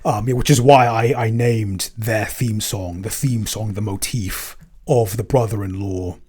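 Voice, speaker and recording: A man chatting casually as a podcast writer in a Q&A, speaking in a halting, hesitant way with stammered word starts. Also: fairly low pitch, fairly even intonation, a little mellow, breathy edge. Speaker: podcast writer and co-creator answering listener questions. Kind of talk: casual Q&A chat.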